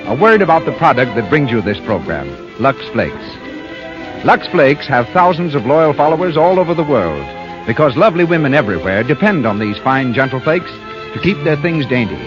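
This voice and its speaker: A man's voice: medium pitch, sing-song, normal speed. A man announcing in a polished, emphatic scripted style on a 1930s radio broadcast. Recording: muffled vintage 1930s radio broadcast, music behind.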